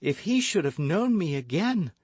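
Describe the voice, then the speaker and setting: A man's voice: fairly high pitch, big pitch swings, normal speed, a little mellow, slightly rough, dry recording, pitched above the performer's own voice. A man voicing a frightened, lovestruck young maidservant in an audiobook, with a pleading, devoted manner. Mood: anxious. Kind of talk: audiobook character acting.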